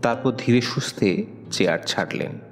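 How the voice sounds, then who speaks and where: A man narrating a scripted audio story in a measured, literary, suspenseful way. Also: lowish voice. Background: music with plucked guitar.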